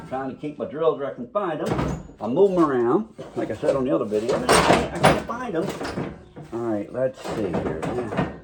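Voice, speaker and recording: Quiet gravelly man in his garage. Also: medium pitch, heavy background noise. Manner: quiet, mumbling while working. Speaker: car restoration hobbyist. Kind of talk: casual workshop talk to camera. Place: home garage workshop.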